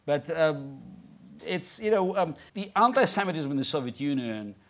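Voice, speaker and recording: Russian-accented male guest, 50s, halting speech with many fillers, theatre stage. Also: fairly high pitch, expressive. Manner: halting, with many restarts and "you know" fillers. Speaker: guest speaker. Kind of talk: onstage conversation before an audience. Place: large theatre stage, indoors.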